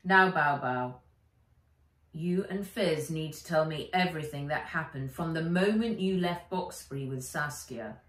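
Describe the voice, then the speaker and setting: Woman voicing a measured British male guardian. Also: low voice. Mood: firm. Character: concerned, steady male guardian in half-moon glasses. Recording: roomy, a little distant from mic.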